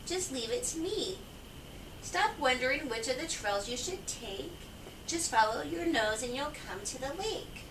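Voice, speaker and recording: A woman's voice: high-pitched, animated, a little slow. A woman voicing a confident, helpful male squirrel in a children's story, assured and instructive. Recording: echoey, distant, noisy home recording.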